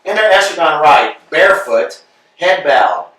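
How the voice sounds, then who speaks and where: A man reads stage directions aloud, brisk and matter-of-fact. His voice sits a little high. The room echoes heavily and the mic is far away. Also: expressive, bright, forceful tone. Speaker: narrator reading stage directions.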